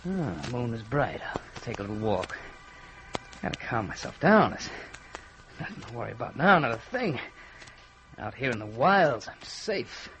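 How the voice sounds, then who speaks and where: A man's voice: mid-range voice, big pitch swings, normal speed, a bit bright. An actor playing a nervous, guilt-ridden American man in an old-time radio horror drama, jittery and overwrought. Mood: nervous muttering. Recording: noisy vintage radio recording.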